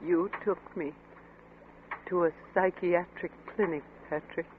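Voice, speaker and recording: Casting: female actor as gentle grieving wife, old-time radio style, hesitant and slow, noisy vintage recording. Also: fairly low pitch, fairly even intonation, narrow, tinny audio, lightly acted. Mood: grave, pained. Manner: hesitant, measured, tender. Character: gentle, sorrowful, devoted wife of a troubled scientist. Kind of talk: 1940s radio drama acting.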